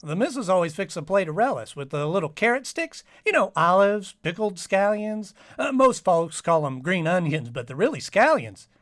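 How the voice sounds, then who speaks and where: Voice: mid-range voice, sing-song, moderate pace, few pauses, a bit bright, dry recording, clean studio sound. A performer playing a naive, earnest male police sidekick in a 1950s-style radio parody, rambling in a folksy, repetitive way. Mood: friendly, rambling.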